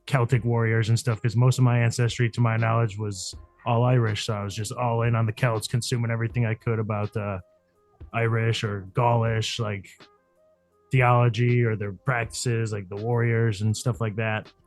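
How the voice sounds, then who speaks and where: Man, podcast host, gravelly, monotone, loose casual talk, faint music underneath. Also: mid-range voice, moderate pace. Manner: loose, swears casually, lots of fillers like "like".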